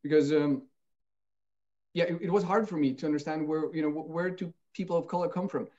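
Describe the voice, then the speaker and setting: Man as an earnest interview guest, restarting sentences, slightly high voice, muffled recording. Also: fairly even intonation, a little fast, darkish tone. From Austria. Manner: earnest, searching for words with many restarts. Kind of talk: reflective interview talk. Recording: dull lo-fi sound.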